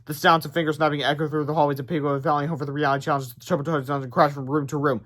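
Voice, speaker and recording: A man's voice: on the high side, little pitch movement, very fast, a bit bright. A man narrating a children's storybook aloud in a theatrical, informal way.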